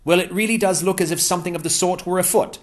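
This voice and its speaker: A man voicing an eager, cheerful scholar with a warm, lively, enthusiastic manner. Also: sits high, fast talker, pitched above the performer's own voice. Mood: earnest. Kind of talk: audiobook character voice.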